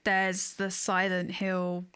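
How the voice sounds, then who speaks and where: A woman's voice: fairly low pitch, monotone, slow, fairly bright tone, smooth. A woman talking casually as the co-host of a podcast about games and films.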